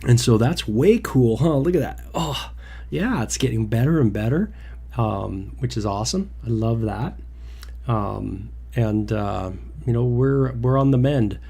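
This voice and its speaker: A man's voice: mid-range voice, lively intonation, unhurried, gravelly, mellow, breathy edge. A man hosting a casual Bible devotional livestream from home, a little nasal from a cold, rambling with many fillers and restarts. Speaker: devotional host.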